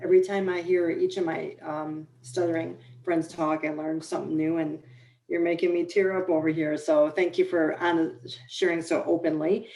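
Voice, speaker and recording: A woman moderating a video call panel, warm and encouraging as she thanks one speaker and introduces the next. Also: sits low, flat delivery, normal speed, gravelly, roomy sound.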